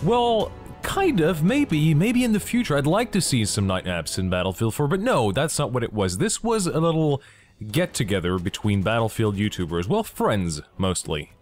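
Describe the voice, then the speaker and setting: A man's voice: medium pitch, big pitch swings, moderate pace, darkish tone, soft background music. A young man from Belgium, talking enthusiastically and jokingly in a casual gaming vlog. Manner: enthusiastic, joking, asks himself questions. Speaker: gaming YouTuber.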